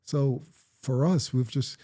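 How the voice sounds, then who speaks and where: A man's voice: mid-range voice, dark tone. A middle-aged man from Jamaica with a Jamaican accent, talking reflectively in a casual conversation with his daughter. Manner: reflective, measured storytelling. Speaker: interviewee, parent.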